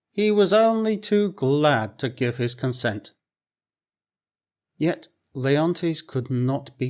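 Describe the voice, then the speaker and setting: A man reading a storybook aloud in a clear, expressive, unhurried way. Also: fairly high pitch.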